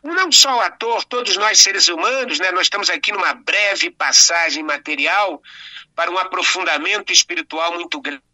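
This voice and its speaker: An earnest, reflective Brazilian man guesting on a radio show, his voice high-pitched with a very bright, sharp edge. He speaks from a little way off the microphone, so the sound is thin and distant, and closes with affectionate farewells. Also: normal speed. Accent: Brazilian Portuguese. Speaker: guest actor and playwright. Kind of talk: radio interview chat.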